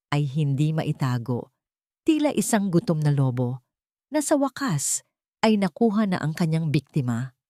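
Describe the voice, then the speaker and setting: Low-voiced woman narrating a drama, dry sound. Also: a little mellow, no echo. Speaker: storyteller. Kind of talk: dramatic scripted story narration.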